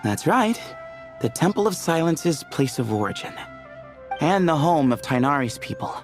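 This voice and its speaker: A man's voice: fairly high pitch, very animated, normal speed, faint music behind. A man voicing a laid-back, teasing young temple leader who chuckles and jokes in game cutscene dialogue. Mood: easygoing.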